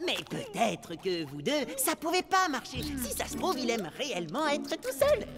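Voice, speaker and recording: A woman's voice: high voice, wildly swooping pitch, moderate pace, over music, exaggerated voice. A woman voicing a sensible, wry preteen boy in a French cartoon dub, often exasperated. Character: preteen amoeba boy, level-headed and wry.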